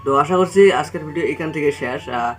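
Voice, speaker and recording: Fast-talking man over music. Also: fairly high pitch, a bit bright. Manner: hesitant, repetitive, filler-heavy delivery. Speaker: YouTube channel host. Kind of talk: casual video intro and outro to viewers.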